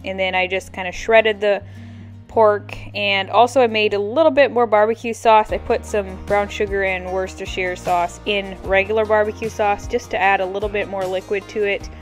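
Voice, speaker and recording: A woman's voice: mid-range voice, steady tone, moderate pace. An American woman in her thirties narrating a casual homemaking vlog in a chatty, informal way. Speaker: homemaking vlogger. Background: background music.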